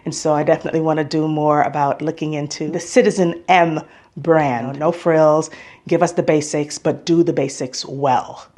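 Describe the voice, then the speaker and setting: A woman's voice: sits low, steady tone, normal speed, darkish tone. An American woman talking casually in a travel vlog, chatty and opinionated, stressing points by repeating herself. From United States. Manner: chatty, opinionated, emphatic repetition. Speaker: travel vlogger.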